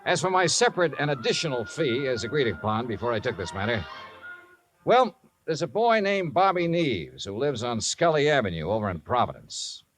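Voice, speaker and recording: A man acts a hard-boiled but kindly investigator from a 1950s radio drama. His medium-pitched voice moves expressively and wryly, with some music behind it. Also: sing-song, moderate pace, lightly acted, rough recording. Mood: dry, kindly.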